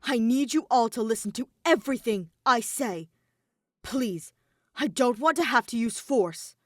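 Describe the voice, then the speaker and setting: A desperate teenage boy in a dramatic audio story, acted by a woman. He is intense and determined, pleading and commanding with wide swings in pitch. The recording is close and dry. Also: animated, a little slow, no room sound. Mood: tense, commanding.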